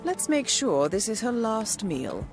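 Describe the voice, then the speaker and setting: An actress playing a cool, composed intelligence handler who briefs an assassin in a measured, formal, dryly wry way for a video game. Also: female voice, fairly high pitch, very animated, over music. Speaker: mission handler briefing an agent. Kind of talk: scripted game mission briefing.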